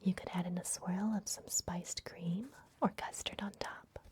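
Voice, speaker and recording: A woman whispering gently and unhurriedly in a breathy voice, playing a kindly vampire librarian in an ASMR fantasy roleplay. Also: fairly low pitch, steady tone, unhurried. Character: kindly vampire librarian hosting a human guest. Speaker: ASMR performer.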